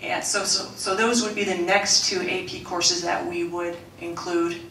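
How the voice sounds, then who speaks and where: A woman with a bright, forceful, slightly low voice gives a formal school presentation. She has a loud coach's voice that she holds back for the microphone, and she restarts her phrases often. The recording is roomy and picked up from some distance, with faint background noise. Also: little pitch movement, a little slow. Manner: loud coach's voice, softened for the mic; earnest, with frequent restarts and fillers. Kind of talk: formal presentation at a board meeting. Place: school board meeting room. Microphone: room microphone. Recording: echoey room, mic at a distance.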